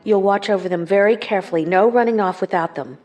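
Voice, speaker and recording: Weary, loving mother played by an actress, medium pitch, gentle and concerned, audio drama over music. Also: quick pace, highs cut off, close to an everyday voice. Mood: worried, hesitant. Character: tired, caring mother recovering in hospital. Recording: music under the voice.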